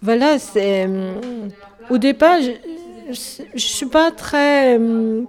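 A woman from China speaking French as a second language with a Chinese accent, answering interview questions in a halting way and often correcting herself. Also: a little high, expressive, a little mellow. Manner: halting, searching for words, self-correcting. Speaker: tea shop owner.

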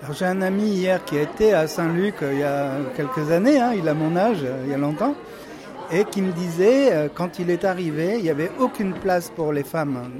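A man's voice: on the high side, a little fast, a crowd in the background. An older man interviewing a guest for radio, steering the talk and rephrasing his questions.

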